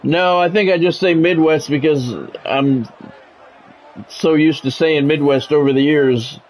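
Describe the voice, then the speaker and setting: A man's voice: fairly high pitch, steady tone, measured pace, a little mellow, slight background noise, low-quality recording. A man livestreaming a video game, giving casual commentary and chatting with viewers in a repetitive, explanatory way.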